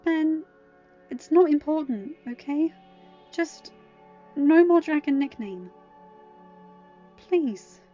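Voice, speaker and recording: A woman's voice: sits high, animated, takes it slowly, long pauses, dark tone, pitched above the performer's own voice. A woman voicing an irritable, stubborn teenage girl in an audiobook, defensive, whiny and exasperated. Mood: pleading irritation. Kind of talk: acted audiobook dialogue. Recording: dull lo-fi home recording, music underneath.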